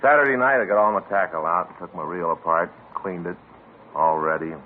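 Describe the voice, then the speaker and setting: A man plays a chatty police detective in an old radio drama, rambling and aggrieved in a deep voice. The sound is tinny and narrow, with faint hiss, like a vintage broadcast. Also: a little fast, natural voice. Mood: rueful. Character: chatty male police partner, grumbling about bad luck. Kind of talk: 1950s radio drama acting.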